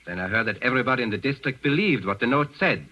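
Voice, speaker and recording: An actor playing a smug, menacing German district governor in a 1940s radio drama, brisk, formal and self-important. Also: male voice, medium pitch, expressive, close to an everyday voice. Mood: stern. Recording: dull vintage radio broadcast.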